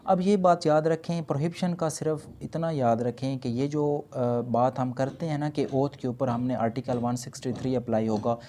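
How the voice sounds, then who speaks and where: Mellow-voiced Pakistani man giving a lecture. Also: medium pitch, slight background noise. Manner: didactic, repeats points, switches between Urdu and English. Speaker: law lecturer. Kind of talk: explanatory lecture, reading out points then explaining.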